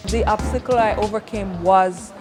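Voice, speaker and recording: A woman's voice: mid-range voice, normal speed. Woman giving a testimonial over music. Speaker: trade program student giving a testimonial. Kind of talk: personal testimonial to camera.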